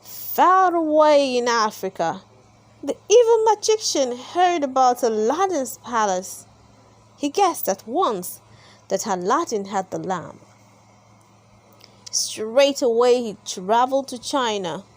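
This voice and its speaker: Female children's storybook narrator: high voice, unhurried, expressive scripted read-aloud.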